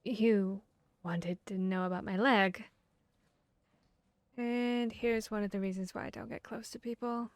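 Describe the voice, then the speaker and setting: A woman playing a guarded, bashful vampire hunter in an intimate ASMR roleplay, speaking earnestly and haltingly. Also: medium pitch, steady tone, no room sound, lightly acted. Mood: reflective, confiding. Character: guarded, bashful female vampire hunter confessing tender feelings. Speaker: audio roleplay voice actor.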